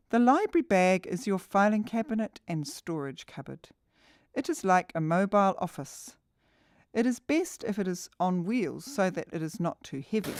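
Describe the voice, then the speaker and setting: A woman's voice: mid-range voice, dry recording. Woman narrator, dry close studio sound. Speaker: narrator of a training video. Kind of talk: scripted instructional narration.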